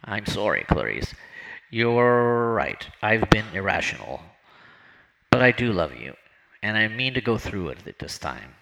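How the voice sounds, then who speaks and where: Old man, devoted and insecure, gravelly low earnest delivery, man reading. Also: sing-song, unhurried, bright, forceful tone, heavily acted, poor audio. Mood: pleading. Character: old man Norman, anxious, devoted, self-doubting.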